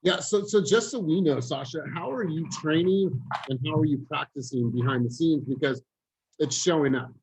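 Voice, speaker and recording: A man's voice: fairly high pitch, moderate pace, a little mellow, rough recording. Casual man coaching a team. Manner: informal, says "man" and "bro". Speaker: team leader running a sales training meeting. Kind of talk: casual coaching talk in a work meeting.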